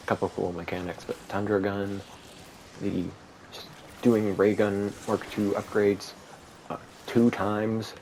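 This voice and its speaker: A man giving rambling, monotone commentary while playing a video game, with many fillers. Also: low voice, creaky, poor audio. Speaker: gaming video creator. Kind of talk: casual gameplay commentary. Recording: steady background noise.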